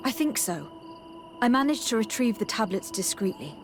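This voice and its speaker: A woman playing a calm, diplomatic young noblewoman envoy in a video game, speaking in a measured, formal and firm way. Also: on the high side, soft background music. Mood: calm, reassuring. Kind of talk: video game dialogue acting.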